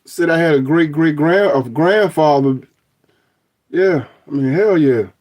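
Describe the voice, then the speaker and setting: A man's voice: on the high side, unhurried, darkish tone. A middle-aged man talking through his DNA results on a phone, rambling and joking with frequent restarts. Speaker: vlogger. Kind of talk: casual review of DNA results.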